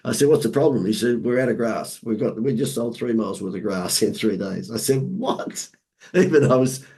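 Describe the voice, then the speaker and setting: An Australian man, hoarse from laryngitis, telling lively marketing anecdotes as a podcast guest with playful asides. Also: medium pitch, moderate pace. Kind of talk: casual storytelling interview.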